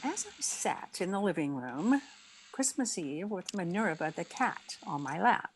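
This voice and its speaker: A woman's voice: fairly low pitch, very animated, a little slow, a little hiss or hum. A woman playing a wry, wisecracking single woman with dry, sardonic delivery in an old-time radio comedy. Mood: drowsy wonder. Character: Miss Brooks, wry, wisecracking single woman, a cat owner.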